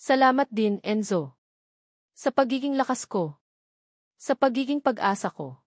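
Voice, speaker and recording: A woman narrator plays a grateful young mother in a romantic storybook. Her voice lifts a little high, heartfelt and tender, in a dry, close recording. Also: animated, unhurried, no room sound. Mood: grateful, loving.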